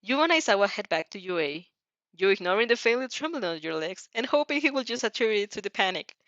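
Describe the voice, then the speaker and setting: A woman with a mid-pitched voice reads a story aloud in an expressive, informal way. She stumbles over sentences and breaks off to comment as herself. The sound is dry and a little dull. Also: fast talker, dry recording. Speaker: fan fiction reader reacting as she reads. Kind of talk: animated story read-aloud with casual reactions. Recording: slightly dull, dry recording.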